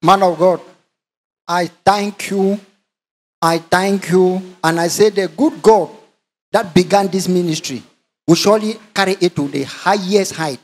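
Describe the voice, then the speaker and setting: Emotional man giving thanks, high voice. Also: measured pace. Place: large indoor church hall. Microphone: handheld microphone.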